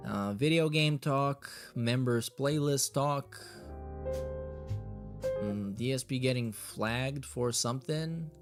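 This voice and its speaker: A man's voice: medium pitch, slow, over music. A man hosting a reaction show in a casual, blunt, sardonic way, reading messages aloud and swearing as he comments.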